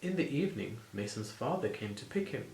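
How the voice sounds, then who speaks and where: A man's voice: medium pitch, very animated, a little fast, vocal fry, a little mellow. A man narrating a children's bedtime storybook, talking directly to small children and putting questions to them. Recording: roomy, with prominent music behind.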